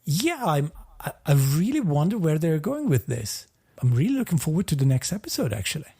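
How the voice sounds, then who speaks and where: A man's voice: a little high, very animated, fairly quick, warm tone, breathy edge. A man co-hosting a podcast in casual review chat, hesitantly qualifying his opinions and repeating words as he goes. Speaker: podcast co-host.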